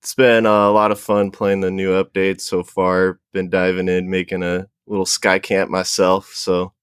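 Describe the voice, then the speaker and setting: A man's voice: low-pitched, monotone, normal speed, clear, resonant tone, no room sound, studio quality. A man chatting casually as a guest on a gaming stream, laid-back and informal. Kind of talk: casual chat.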